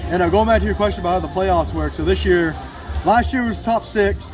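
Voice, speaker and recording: A man's voice: sits high, brisk, poor audio. A man in his twenties giving a casual, enthusiastic sports interview as a team announcer. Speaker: PA announcer and radio producer for a baseball team, interview guest. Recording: outdoor recording with music behind.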